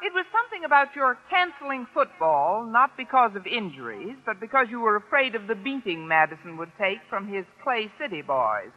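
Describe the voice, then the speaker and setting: Wry schoolteacher, dull old radio sound. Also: female voice, on the high side, big pitch swings, brisk, few pauses. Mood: sly, mock-deferential. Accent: American. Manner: dry, sardonic, mock-deferential. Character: wry, scheming female high school teacher. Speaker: actress in a radio comedy. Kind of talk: old-time radio sitcom acting. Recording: muffled vintage radio recording.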